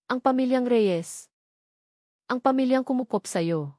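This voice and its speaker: A woman's voice: high voice, a little slow, darkish tone, no room sound, studio quality, pitched above the performer's own voice. A woman voicing a frail elderly former nanny in a story, sorrowful and confiding, with a weak voice. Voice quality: weak, breaking voice.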